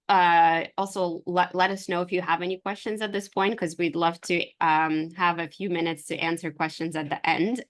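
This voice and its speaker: A woman's voice: lowish voice, a bit bright. A woman from Ukraine giving an informal, enthusiastic online business presentation in a conversational way. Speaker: presenter pitching her herbal supplement line. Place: online video call with screen share.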